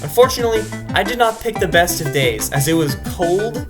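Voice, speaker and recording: A man's voice: sits high, lively intonation, fairly quick. A man narrating his vlog in scripted voice-over, wry and self-deprecating. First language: English. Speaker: vlogger narrator. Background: background music.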